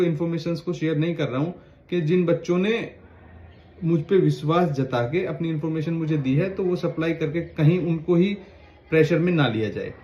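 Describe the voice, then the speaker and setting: A fairly high-voiced man speaks earnestly to the camera as a lawyer, pleading and then warning. The room sounds echoey, and the recording is dull and lo-fi. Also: a little mellow. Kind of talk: direct-to-camera appeal.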